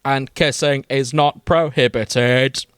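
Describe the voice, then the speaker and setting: Comic sci-fi reading: man as stiff, superior Dalek alien, stilted and deliberate, dry sound. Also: medium pitch, fairly even intonation, fairly bright tone, clear, resonant tone, no room sound. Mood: stern, literal. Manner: stilted, formal, emphatic delivery. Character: male Dalek alien, stiff, literal, superior best friend.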